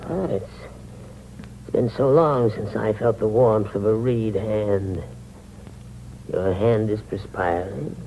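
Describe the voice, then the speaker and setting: An actor playing a frail, trusting elderly uncle who speaks slowly and hesitantly in a 1950s radio drama. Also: male voice, fairly low pitch. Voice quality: slow, hesitant speech. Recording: muffled old radio broadcast with loud hiss.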